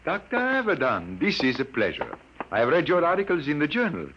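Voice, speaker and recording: A man's voice: a little high, wildly swooping pitch, a little fast, noisy background. A man acting a grave, troubled scientist of sixty-two in a 1950s radio drama. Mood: courteous. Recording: muffled old radio broadcast.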